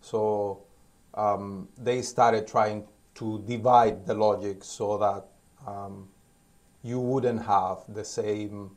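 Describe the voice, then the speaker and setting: A man in his forties from Cuba, giving relaxed, thoughtful interview answers, pausing often and restarting as he talks, filmed outdoors on a rooftop terrace on a phone at arm's length. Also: on the low side. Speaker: interview guest, DevOps engineer. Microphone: phone held at arm's length.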